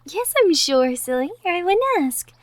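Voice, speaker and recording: A woman playing a gentle, caring young girlfriend from the woods in a soft, intimate romantic ASMR roleplay, with tender murmurs. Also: high-pitched, expressive, moderate pace. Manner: soft, tender, breathy murmurs with light giggles. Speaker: audio roleplay performer. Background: birdsong and outdoor nature ambience effects.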